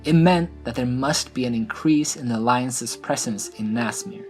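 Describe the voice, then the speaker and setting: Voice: mid-range voice, breathy edge. A narrator reading a scripted fantasy story in an audio drama. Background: music.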